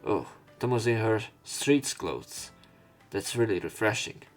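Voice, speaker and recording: A man's voice: fairly low pitch, expressive, measured pace. A man doing let's play commentary, reading game text aloud and adding informal, rambling, hesitant remarks. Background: soft game music.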